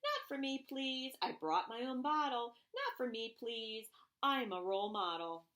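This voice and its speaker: A woman reading a rhyming children's picture book aloud, expressively and deliberately, with emphasised phrases. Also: a little high, big pitch swings, a bit bright.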